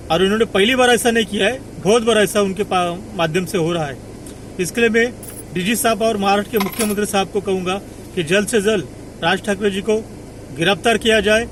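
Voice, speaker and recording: A man's voice: high-pitched, a little hiss or hum, rough recording. A man from India speaking as an activist spokesman, giving an emphatic political statement to the media.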